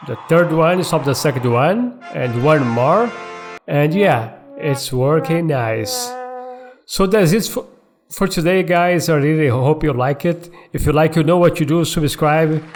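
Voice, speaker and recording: Guy doing a coding tutorial, slow casual step by step, music in the back. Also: on the high side. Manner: checks in often with tag questions like okay and all right. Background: background music.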